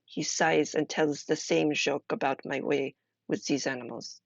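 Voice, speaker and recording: A woman voicing an older medieval merchant with a put-on Russian accent, reading her journal in a measured way. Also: deep voice, steady tone, creaky, no room sound, muffled recording, exaggerated voice. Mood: measured, wry. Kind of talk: character reading of journal entries.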